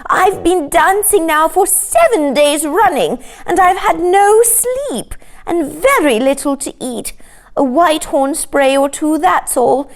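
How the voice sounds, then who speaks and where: A woman's voice: high voice, animated, slow, pitched above the performer's own voice, full character voice. A woman voicing a distressed, polite red cow in a storybook, plaintive and earnest. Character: distressed, polite, simple red cow who cannot stop dancing.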